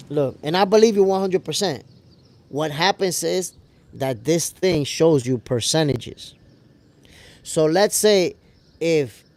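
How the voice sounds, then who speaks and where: An American man with a gravelly voice hosting a casual livestream, talking to viewers in an animated, slangy way. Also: fairly high pitch, no echo. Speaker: host of a boxing show livestream, reading viewer comments. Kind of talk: casual livestream chat with audience.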